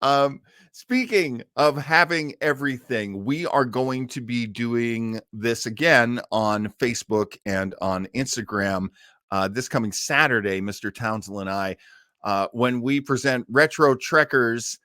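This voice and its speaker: An American man hosting a casual live stream, talking in a rambling, halting way with chuckling asides. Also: medium pitch, sharp tone, dry recording, studio quality. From Maine, United States. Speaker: host of an online old-time radio listening show.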